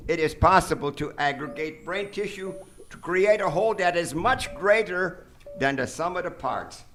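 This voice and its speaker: A man's voice: high-pitched, animated, moderate pace, a bit bright. A man playing a vain, megalomaniac mad surgeon in a live comedic radio drama, pompous and grandiose. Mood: boastful, grandiose. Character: vain, megalomaniac mad brain surgeon, absurdly boastful villain. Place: theater stage before an audience. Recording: noisy live theater stage recording.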